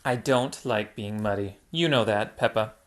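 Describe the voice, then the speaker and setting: A woman's voice: very deep voice, normal speed, pitched below the performer's own voice. A woman voicing a fussy, easily upset girl who whines and huffs. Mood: huffy. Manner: whiny, huffy.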